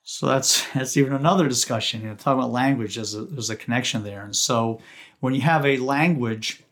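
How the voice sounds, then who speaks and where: A man whose first language is Ukrainian, hosting a casual podcast chat in an earnest, halting way with frequent restarts. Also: mid-range voice, fairly bright tone. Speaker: podcast host. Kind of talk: casual conversational interview.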